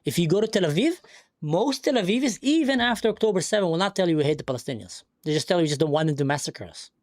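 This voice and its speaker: A man's voice: sits high, lively intonation, rapid-fire, no room sound, studio quality. A man who grew up in the Middle East, hosting a live stream and arguing insistently with a caller. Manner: insistent, argumentative, talks over the other speaker. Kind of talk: heated debate on a live stream.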